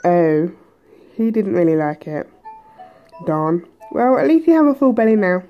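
A woman hosting a let's play, chatty and hesitant with lots of ums, her voice a bit croaky from a cold. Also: fairly low pitch, big pitch swings, a little slow, highs cut off, faint music behind. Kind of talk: casual game commentary. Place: indoors at a table.

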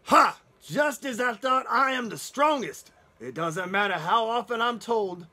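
A man voicing a vain, bullying wolf in a read-aloud storybook, booming and gloating. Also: lively intonation, unhurried, sharp tone, exaggerated voice. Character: boastful big bad male wolf, vain bully.

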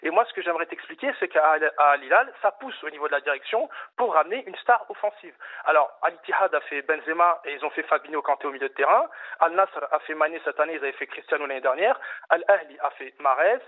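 A man's voice: on the high side, expressive, quick pace. A male caller making an insistent case about football on a radio phone-in, with many fillers and restarts. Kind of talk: animated phone-in football debate. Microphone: telephone. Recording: tinny phone line.

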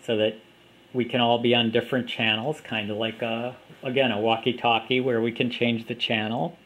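A man giving a plain, unhurried walkthrough of an app, recorded on a camera mic indoors at a table. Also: medium pitch, level tone, a bit bright, low-quality recording. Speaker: app developer demonstrating his app. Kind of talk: casual product demo.

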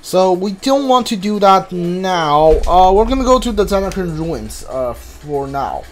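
A gamer talks casually through a playthrough in a mid-range voice, halting and thinking aloud as they go. Game music plays behind the voice. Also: medium pitch, sing-song. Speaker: video game player. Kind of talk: casual gameplay commentary.

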